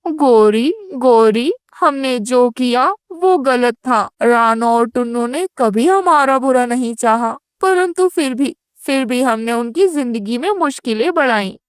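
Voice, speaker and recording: A woman's voice: a little high, expressive, unhurried, hardly any pauses, clean tone, no echo, studio quality. A woman voicing a remorseful, earnest crow who speaks apologetically in a cartoon story. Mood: regretful. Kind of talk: Hindi cartoon story dubbing.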